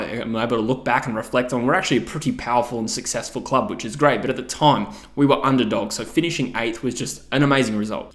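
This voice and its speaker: A man of thirty, talking casually to his channel, reflective and full of "you know" fillers. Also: medium pitch. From Thailand. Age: 30s. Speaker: YouTube channel host. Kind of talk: casual vlog monologue.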